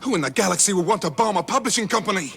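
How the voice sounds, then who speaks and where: An actor playing a brash, cocky galactic fugitive with a flippant, excitable manner, in a science fiction radio comedy. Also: male voice, high voice, rapid-fire, vocal fry, soft background music. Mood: edgy, flippant. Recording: loud sound effects and engine noise.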